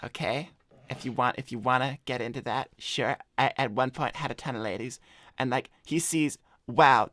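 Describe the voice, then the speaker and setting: A man's voice: mid-range voice, no echo, clean studio sound, natural voice. A man voicing a cocky, laid-back cartoon hedgehog who chats in slang on an improvised livestream. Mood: cocky, bragging. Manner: slangy, swearing, deadpan bragging. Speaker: cartoon character co-hosting an advice livestream. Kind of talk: improvised in-character chat, reading donor messages.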